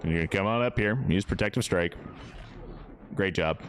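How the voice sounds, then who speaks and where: A man with a deep, smooth voice giving casual live commentary as he plays a game, chatting away to his characters. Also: normal speed, fairly bright tone. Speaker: game streamer, host. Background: music.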